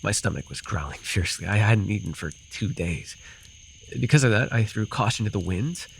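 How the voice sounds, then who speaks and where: A man's voice: lowish voice, animated, normal speed, creaky. A man acting a rambling, amused forest fae in a fantasy ASMR roleplay, telling his tale with hesitant, apologetic asides. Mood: reminiscing. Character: rambling, amused male forest-dwelling fae storyteller with bird companion. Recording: noisy with cricket and insect ambience. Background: night forest ambience with crickets and insects.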